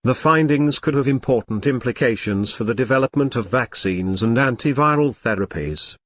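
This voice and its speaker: A man reading a scripted passage aloud in a formal, measured manner. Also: medium pitch, fairly bright tone. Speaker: narrator of read-aloud test practice passages. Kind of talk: scripted read-aloud narration.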